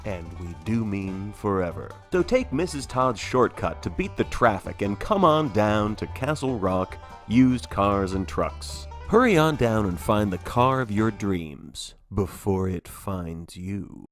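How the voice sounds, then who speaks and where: A man's voice: lowish voice, big pitch swings, slow pace, hardly any pauses, faint music behind, pitched below the performer's own voice. A man playing a sly, sinister used car salesman in a mock radio commercial, selling with an ominous edge.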